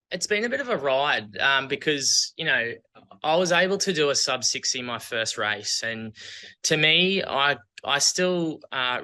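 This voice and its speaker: A man's voice: mid-range voice, bright, forceful tone. A man with an Australian accent, chatting casually as an athlete and coach being interviewed.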